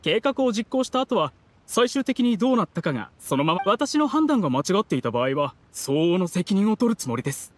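An actor playing a polite, earnest young candidate in a Japanese video game, speaking formally and articulately. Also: male voice, high-pitched, sing-song, brisk, slight background noise.

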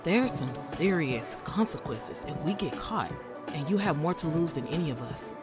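A practical working woman turned thief in an audio drama. Her voice is fairly low and steady, with music clearly behind it. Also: normal speed. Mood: caring, resigned.

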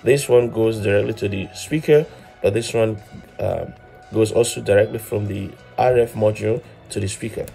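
A man's voice: fairly low pitch, steady tone, moderate pace, creaky, warm tone, soft background music. A man in his thirties giving an informal hands-on tutorial as host, explaining step by step and often repeating words as he goes. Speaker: host of an electronics DIY channel.

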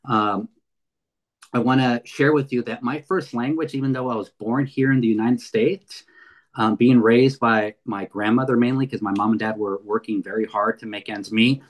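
A man's voice: mid-range voice, steady tone, moderate pace, rough recording. Earnest man in his fifties on a webinar. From San Fernando Valley, California. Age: 50s. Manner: earnest, frequent fillers and restarts. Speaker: guest speaker. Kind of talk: webinar presentation. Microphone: video call.

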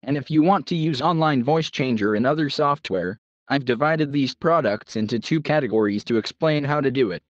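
A man's voice: mid-range voice, fairly quick, no echo, muffled recording. A man reading a scripted product tutorial as the presenter.

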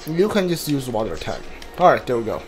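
A man's voice: medium pitch, very animated, fast talker. A man giving casual video game commentary, thinking aloud and reading text from the screen. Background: game music.

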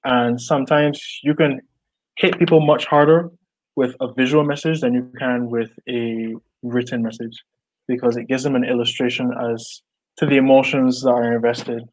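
A man's voice: medium pitch, fairly even intonation, normal speed, rough recording. A young man from Barbados, an artist, talking over a video call in a small room at home, earnest and reflective, often restarting his sentences with "like". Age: young adult. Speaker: artist guest. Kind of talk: casual video call interview. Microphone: earbuds on a video call.

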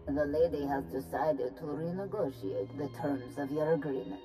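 A woman voicing a cool, commanding female alien envoy in a video game, formal and measured. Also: low voice, over music, lightly acted, poor audio. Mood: coolly threatening. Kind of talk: video game cutscene acting.

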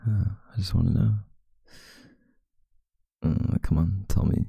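A young man doing an intimate ASMR boyfriend roleplay as a sleepy, affectionate, teasing partner in bed, murmuring softly and drowsily close to the microphone. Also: low-pitched, slow pace, no echo. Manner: soft, drowsy murmuring, partly breathy and whispered. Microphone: close microphone, dry sound.

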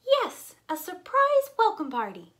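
A woman voicing an eager, excitable little squirrel from a children's storybook. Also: extremely high pitch, a little slow, voice pushed up, exaggerated voice.

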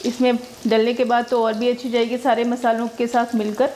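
High-pitched woman chatting thru a recipe in her home kitchen, homely and easy, bit of faint sizzle behind. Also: level tone, fairly quick, darkish tone, slight background noise. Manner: chatty, homely, explains each step. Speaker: cooking vlogger. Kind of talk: step-by-step cooking narration.

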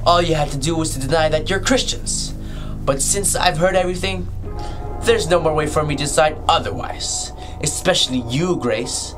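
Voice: mid-range voice, close to an everyday voice. A young performer playing a menacing, mocking prison captain in an amateur radio drama, gloating and taunting. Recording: music under the voice.

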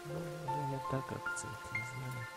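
A man with an Armenian accent talks in a gravelly, creaky voice, hesitating and restarting often. He is on a walkie-talkie chat app, with music playing clearly behind him. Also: medium pitch, steady tone. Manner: halting, many fillers and restarts. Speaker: chat participant. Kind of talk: casual religious discussion.

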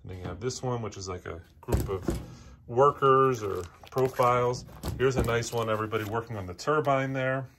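A man with an average-pitched voice presents an informal walkthrough. He speaks off the cuff in short, halting phrases, pointing things out as he goes. It is recorded indoors on a handheld device. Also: background noise. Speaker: auction house presenter. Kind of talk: unscripted walkthrough narration, pointing out items. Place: indoor antiques showroom, walking around.

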